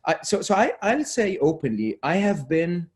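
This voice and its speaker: A man's voice: high voice, sing-song, normal speed. A man, a guest talking earnestly over a remote call, often restarting and dropping his voice to close a point. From Egypt. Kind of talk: relaxed interview talk.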